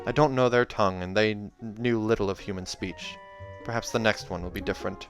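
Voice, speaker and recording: A man voicing a young female warrior in a fantasy storybook, soft-spoken, grim and vengeful, with background music. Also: fairly low pitch, steady tone, lightly acted. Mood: cold, vindictive. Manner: speaks in an accent, grim and measured.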